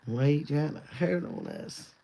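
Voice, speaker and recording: A man casually chatting on a livestream in a loose, rambling way, his voice going hoarse. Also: on the high side, wildly swooping pitch, a little slow, dark tone. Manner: loose, rambling talk with easy laughs. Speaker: livestream host.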